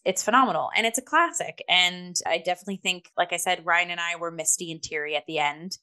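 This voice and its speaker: Bright-voiced woman chatting about theatre. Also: on the low side, fast, smooth. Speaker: talk show host and theatre reviewer. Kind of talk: casual review discussion.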